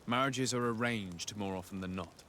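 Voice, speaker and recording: An actor playing a sincere, reserved young noble diplomat in a video game, speaking politely and formally. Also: male voice, on the low side, normal speed, pushed and bright, slight background noise. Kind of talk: video game dialogue acting.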